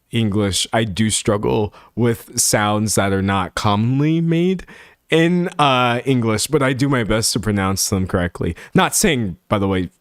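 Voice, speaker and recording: A man's voice: mid-range voice, smooth, dry recording. A young Texan man rambles through his stream chat in a close, dry recording with no room sound. He laughs often and pokes fun at himself as he talks. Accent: Texan American. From San Antonio, Texas. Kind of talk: casual livestream chat reading comments.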